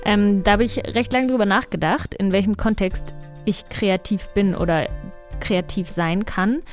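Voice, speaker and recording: A woman's voice: medium pitch, measured pace, soft background music. A woman co-hosting a podcast, talking conversationally and searching for her words. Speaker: podcast co-host.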